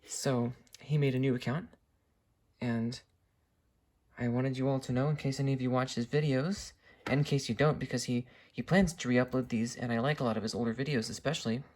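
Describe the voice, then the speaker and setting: Casual male vlogger, medium pitch, enthusiastic hobbyist talking off the cuff through a gear demo. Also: steady tone, brisk, a little mellow. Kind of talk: casual unscripted demonstration.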